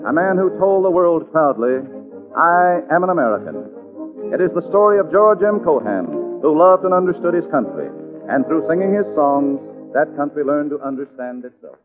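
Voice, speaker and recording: Animated male announcer on old radio. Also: fairly high pitch, moderate pace. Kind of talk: 1940s radio announcing, scripted and formal. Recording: narrow old radio broadcast, faint music.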